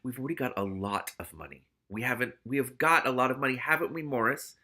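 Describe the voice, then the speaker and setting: A man's voice: mid-range voice, fast talker, sharp tone. A man voicing a polite but insistent female rat in a storybook, speaking primly and pressing the point. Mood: politely insistent. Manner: prim, polite, insistent, questioning.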